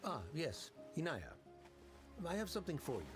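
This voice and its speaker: A man's voice: a little high, huge pitch swings, normal speed, over music. An actor playing a reserved, formal and hesitant middle-aged father in a video game. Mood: awkward, tender. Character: reserved middle-aged father, stiff, quietly caring and awkward.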